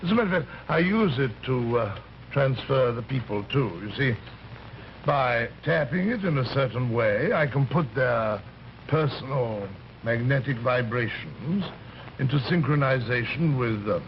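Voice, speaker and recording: An actor playing a suave, menacing fat villain with supernatural powers in an old radio thriller, speaking with smooth, condescending hesitations. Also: male voice, mid-range voice, expressive, measured pace. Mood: smug, boastful. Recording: dull, hissy old radio recording.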